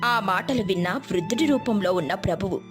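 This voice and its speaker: A woman's voice: mid-range voice, animated, brisk, over music. A woman narrating a scripted moral story as a storyteller.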